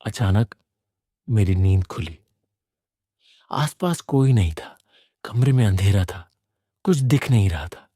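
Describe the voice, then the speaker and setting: Slow, low-voiced man telling horror stories. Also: lively intonation, warm tone, no echo. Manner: suspenseful, deliberate storytelling. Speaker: horror story narrator. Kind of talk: first-person scripted horror narration.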